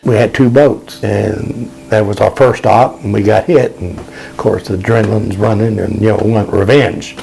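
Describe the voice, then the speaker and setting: A man's voice: lowish voice, fairly even intonation, moderate pace, vocal fry, dark tone, a little hiss or hum, low-quality recording. An older man, a veteran, recalling his service in a casual, rambling way, repeating words and using plain folksy speech. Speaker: Vietnam veteran interviewee. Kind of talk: casual spoken recollection.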